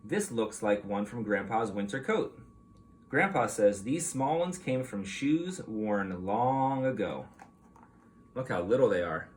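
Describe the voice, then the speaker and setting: Man reading to children with lively, swooping intonation. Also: fairly low pitch, slow pace, slight background noise. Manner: expressive, explanatory, addressing children with questions. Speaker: teacher reading a picture book aloud to young children. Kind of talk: read-aloud storytelling for young children.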